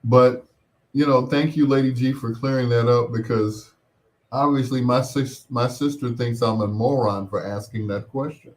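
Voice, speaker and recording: A man hosting a casual call-in radio show, talking in a wry, exasperated, drawn-out manner. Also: medium pitch, steady tone. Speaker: radio talk show host.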